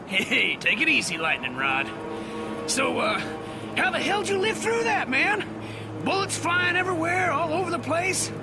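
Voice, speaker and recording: An actor playing a brash, wisecracking slacker sidekick in a video game, with a loose, slangy, joking manner. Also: male voice, extremely high pitch, big pitch swings, measured pace, heavily acted. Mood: joking, casual. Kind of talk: scripted game dialogue. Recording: loud game music behind.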